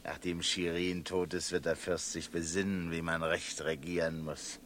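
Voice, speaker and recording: A male actor playing an amiable, chatty, cheerfully sinister executioner in a German radio play, with an unhurried, musing manner. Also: sits low, level tone, fairly bright tone. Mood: affable, musing. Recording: noisy vintage radio recording.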